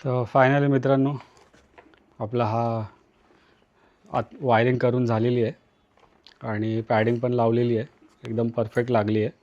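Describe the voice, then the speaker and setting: A man's voice: medium pitch, little pitch movement, unhurried, pauses often, a little mellow, muffled recording. A man with an Indian accent from Maharashtra gives a casual hands-on tutorial, talking to viewers in short, repeated instructional phrases. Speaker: vlogger, tutorial host.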